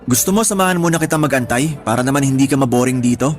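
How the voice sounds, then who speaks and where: A man's voice: mid-range voice, quick pace, faint music behind, lightly acted. A man playing a curious, doubting young friend in a Tagalog radio drama, casual and questioning.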